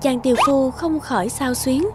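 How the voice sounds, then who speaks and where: A woman reading scripted narration for an animated fairy tale. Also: high voice, normal speed. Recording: music behind the voice.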